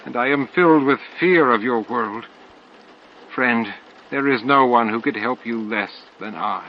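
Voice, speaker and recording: A man's voice: mid-range voice, measured pace, close to an everyday voice. A man voicing a confused, anguished insectoid alien hive master in an audiobook, speaking in a formal, earnest way. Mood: bewildered, despairing. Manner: formal, earnest, bewildered speech. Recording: dull lo-fi audio, clear noise.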